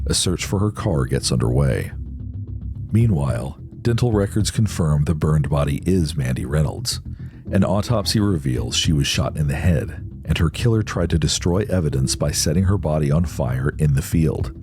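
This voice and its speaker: A man's voice: extremely low pitch, lively intonation, normal speed, a little mellow. A man reading scripted true-crime narration in a grave, dramatic storytelling manner. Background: background music.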